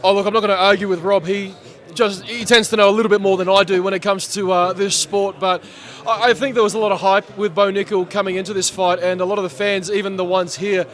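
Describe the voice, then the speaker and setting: Male MC, high-pitched, hoarse, animated guest in a sports bar chat. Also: quick pace. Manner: animated, chatty, laughs often. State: losing his voice. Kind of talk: post-fight reaction interview.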